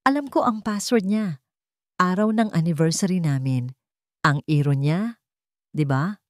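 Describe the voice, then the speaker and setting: A woman's voice: on the low side, animated, measured pace, dry recording. A woman with a Filipino accent voicing a betrayed wife, anxious but insistent, in a story drama. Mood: determined, bitter. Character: betrayed wife, anxious yet determined and bitter.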